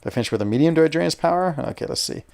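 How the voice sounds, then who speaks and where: A man's voice: fairly high pitch, expressive, rapid-fire, gravelly, darkish tone. A young American man hosting a gaming livestream, chatting in a rambling, casual way with plenty of fillers and hesitations. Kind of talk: casual live-stream chat while playing.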